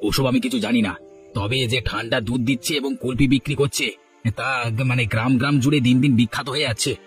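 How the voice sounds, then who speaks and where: Man acting a gossipy cartoon villager plotting mischief, talks quick, medium pitch, faint music. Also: fairly even intonation, close to an everyday voice. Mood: gossipy, indignant.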